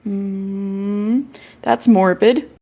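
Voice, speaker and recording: A woman's voice: mid-range voice, fairly even intonation, extremely slow, mellow. A woman streaming a video game, chatting casually and reading story dialogue aloud, with game music behind her.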